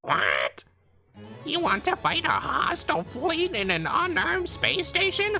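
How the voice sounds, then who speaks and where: A woman's voice: high voice, very animated, takes it slowly, creaky, bright, forceful tone, soft background music, full character voice. A woman voicing a sardonic, squawky robot bird, a prickly crew member in a comic dub. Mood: alarmed, dismissive.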